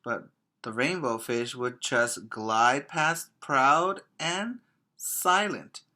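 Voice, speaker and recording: A man, a preschool teacher, reading a picture book aloud expressively and addressing young children directly in simple, deliberate phrases. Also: medium pitch, bright, forceful tone.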